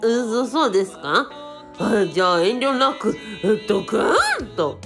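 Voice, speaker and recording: A woman's voice: mid-range voice, animated, measured pace, fairly bright tone, faint music behind. A woman playing a timid, self-pitying young male cult guru who stammers and blusters in a comic radio drama. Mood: flustered. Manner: stammering, whiny, swinging into blustering rants.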